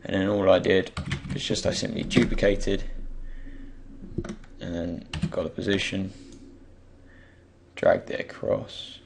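A young man in his tutorial voice, croaky and rough because he has just got up. He sits very low and rasps, pausing often to think aloud. Mouse clicks and faint hiss sit under his voice. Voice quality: croaky, gravelly. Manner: relaxed, thinking aloud with many pauses. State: just woken up. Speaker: tutorial creator. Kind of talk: screen-recorded software tutorial.

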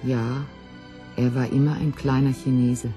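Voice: mid-range voice, little pitch movement, measured pace, music in the background. A person giving a reflective personal reminiscence in plain, sober, short sentences.

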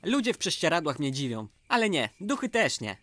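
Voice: mid-range voice, big pitch swings, a little fast, a bit bright, a little hiss or hum. An adult actor playing a cynical, sarcastic, bossy boy camper in a dubbed cartoon. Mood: dismissive. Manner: sarcastic, dismissive. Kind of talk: animated cartoon dub acting.

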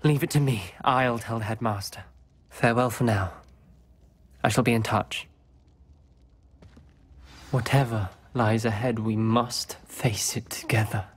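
A man's voice: medium pitch, fairly even intonation, measured pace, pauses often, breathy edge. A man with a British accent playing a principled, troubled teenage wizard student in a video game, speaking formally. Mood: resigned, resolute. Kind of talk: dramatic video game acting.

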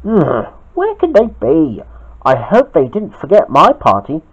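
Storybook party boy, bossy and easily upset, emphatic, very swooping pitch, lo-fi sound acted by a narrator. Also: huge pitch swings, measured pace, gravelly, husky, full character voice. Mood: anxious, sad. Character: cross, sad, bossy boy party host Bobby. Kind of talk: children's story character voice. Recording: dull lo-fi recording.

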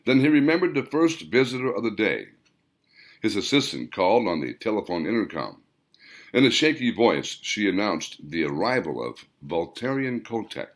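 Male narrator, low voice, very varied intonation, theatrical audiobook reading, deliberate. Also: very animated. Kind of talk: dramatic scripted narration.

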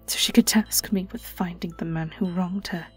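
A woman playing a sly, vengeful vampire in an audio roleplay, with a British accent, a breathy, often whispered voice and a taunting, theatrical delivery. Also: lowish voice, fairly even intonation, moderate pace, soft background music. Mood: hushed, bitter. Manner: taunting, theatrical, lingering on words. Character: vengeful young female vampire, sly, gloating and predatory. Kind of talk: dramatic audio roleplay monologue.

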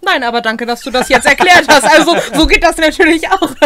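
Young female co-host, slightly higher-pitched, casually reads and discusses game rules. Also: brisk, pushed and bright. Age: young adult. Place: small indoor home room.

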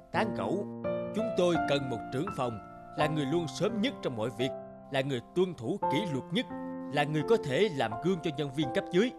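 A stout middle-aged company boss from an animated cartoon, measured and lecturing as he explains himself to an employee, as a man voices him. His voice sits a little on the high side for a man. A steady bed of music runs clearly behind him. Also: lightly acted. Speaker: voice actor. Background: background music.

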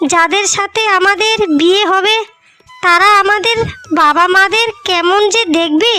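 A woman's voice: very high-pitched, bright, forceful tone, heavily acted. A woman voicing a caring, worried elder sister in a cartoon fairy tale, tender and coaxing. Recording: music bed behind the voice.

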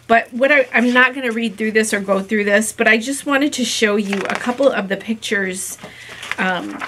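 A woman in her fifties, a little raspy from a cold, chats to the camera from a small room at home. Her voice is bright and forward, and she thinks aloud with plenty of ums. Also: fairly high pitch, moderate pace, a little hiss or hum. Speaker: fiber-craft vlogger. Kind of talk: casual chat to camera. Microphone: camera in front at desk distance.

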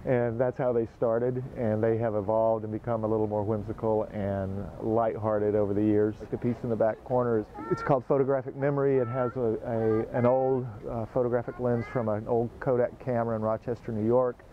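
A man in his fifties, a glass artist, talking thoughtfully about his work with many pauses and fillers, in an outdoor festival tent on a lapel mic. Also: medium pitch, little pitch movement. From North Carolina via Alaska. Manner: thoughtful, halting, with frequent fillers and restarts. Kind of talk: reflective booth interview. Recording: muffled audio with faint outdoor hiss.

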